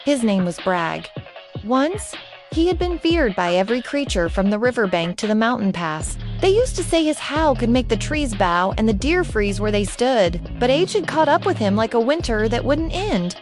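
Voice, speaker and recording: A woman's voice: on the high side, very animated, normal speed, soft background music. A woman reading a scripted storybook tale as a narrator.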